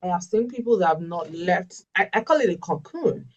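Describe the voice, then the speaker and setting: A woman's voice: fairly low pitch, lively intonation, a little fast, a little mellow, distant mic, dull audio. A Yoruba-speaking woman, a life coach answering webinar questions earnestly and emphatically, often repeating her key phrases. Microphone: video call.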